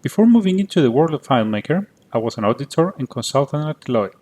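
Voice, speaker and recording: A man from Cyprus, a native Greek speaker with a Greek Cypriot accent, giving a prepared conference talk in a clear, methodical way. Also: mid-range voice, big pitch swings, quick pace, a little mellow. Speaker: conference presenter.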